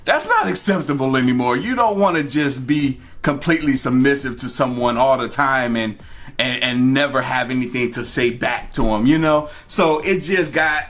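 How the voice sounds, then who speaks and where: A man's voice: medium pitch, moderate pace, fairly bright tone. A man telling a personal story in a casual, conversational way, repeating phrases for emphasis. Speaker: storytime vlogger. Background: music.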